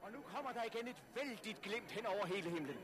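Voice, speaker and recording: An actor playing an enthusiastic radio reporter giving declamatory live commentary in a 1960s radio drama. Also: male voice, high-pitched, expressive, noisy background. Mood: awed, excited. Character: excited male radio reporter at an outdoor spectacle.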